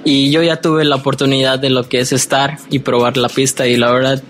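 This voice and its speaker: A man's voice: mid-range voice, little pitch movement, a little fast, fairly bright tone, slight background noise. A man in his early twenties with a Mexican accent, a student athlete giving casual answers as an interview guest. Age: early 20s.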